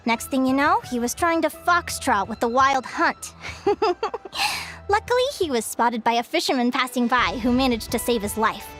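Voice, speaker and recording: Casting: a playful young advisor quizzing a crowd, very high and sing-song, soft music behind. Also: female voice, normal speed. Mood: upbeat. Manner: lively, teasing, upbeat teacher. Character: young female adventure advisor, bright and playful quizmaster. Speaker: adventuring advisor giving a quiz. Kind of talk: video game cutscene dialogue. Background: game music.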